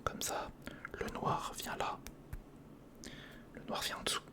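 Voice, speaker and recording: Asmr host whispering real close to the mic, breathy, kinda thinking out loud while putting stuff together. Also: slow pace. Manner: thinks aloud, murmured to the listener. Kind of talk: whispered ASMR, narrating a step-by-step toy build. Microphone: close recorder or studio condenser microphone.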